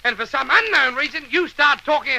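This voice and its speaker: An actor playing an exasperated, blustering Australian schoolteacher who stammers and scolds, in an old radio comedy. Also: male voice, extremely high pitch, lively intonation, fairly quick, gravelly, bright, forceful tone, heavily acted. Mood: exasperated, spluttering. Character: exasperated, blustering male schoolteacher bossing silly pupils.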